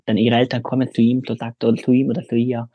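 Man in his twenties, mellow husky voice, dry close sound, hesitant speech with many fillers. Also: mid-range voice, monotone, fast talker, dry recording, dull audio. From Afghanistan. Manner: hesitant, many fillers, non-native grammar. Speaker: interview guest. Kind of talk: casual interview answers.

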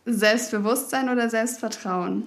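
A woman co-hosting a podcast, briskly reading quick either-or questions. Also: fairly high pitch. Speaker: podcast co-host. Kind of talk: rapid question game.

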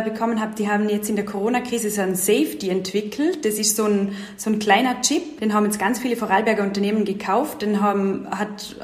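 Eager young woman in an echoey room. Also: medium pitch. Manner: eager, leans on filler words while explaining. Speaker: event and project manager, interview guest. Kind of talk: casual interview talk. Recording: roomy echo.

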